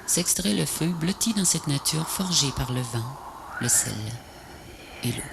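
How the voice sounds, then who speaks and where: A woman reads a poem slowly in short, solemn phrases. Her voice is gravelly with fry and breathy, often close to a whisper. The old tape recording carries clear background noise. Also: low-pitched, expressive. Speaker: poet narrator of a video poem. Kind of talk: poetic recitation, scripted voice-over. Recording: noisy VHS transfer, ambient noise.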